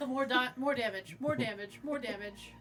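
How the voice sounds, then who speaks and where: A woman's voice: a little high, normal speed, a bit bright, faint music behind. A woman playing a tabletop game, chatting casually and joking in a playful, unfiltered way.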